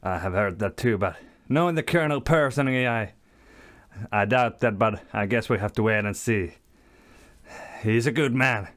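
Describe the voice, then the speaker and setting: Actor as a loud profane drill sergeant, contemptuous; booming, reverberant room sound. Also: male voice, moderate pace, close to an everyday voice. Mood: solemn. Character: foul-mouthed bullying American army sergeant. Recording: echoey room, long reverb.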